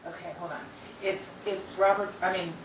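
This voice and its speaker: A woman chatting casually as she leads a voice acting rehearsal, giggly and excitable. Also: fairly low pitch, moderate pace. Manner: giggly, excitable, often breaking into laughter. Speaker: rehearsal leader and voice actor. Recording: echoey, distant, muffled, noisy room recording.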